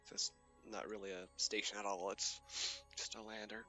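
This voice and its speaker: A sniffly young man with a stuffy cold talks through his gameplay in a rambling, hesitant way, his voice sitting on the low side. He speaks into a desk mic at his computer, with game music playing clearly behind him. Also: little pitch movement, moderate pace, bright, forceful tone. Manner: rambling, hesitant, many fillers. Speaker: gaming channel host. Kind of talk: casual gameplay commentary.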